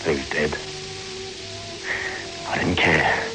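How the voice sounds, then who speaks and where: An actor playing a hard-bitten, slangy fugitive truck driver in a 1960s radio suspense drama. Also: male voice, extremely low pitch, fairly even intonation, slow pace, music in the background. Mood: grim, brooding. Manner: slangy, gruff, narrating and arguing. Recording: very noisy old radio recording.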